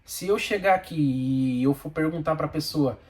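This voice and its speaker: A man's voice: on the high side, normal speed, darkish tone. A Brazilian man speaking Portuguese with a Brazilian accent, a bus driver giving his plain-spoken, opinionated views in a casual vlog and repeating himself for emphasis.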